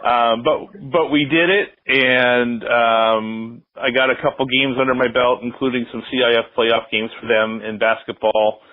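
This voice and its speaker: A middle-aged American man answering interview questions as a sports announcer, talking casually and reflectively in a rambling way with frequent pauses. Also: medium pitch, steady tone. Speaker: interview guest, sports public address announcer. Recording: roomy, slightly muffled phone-like line.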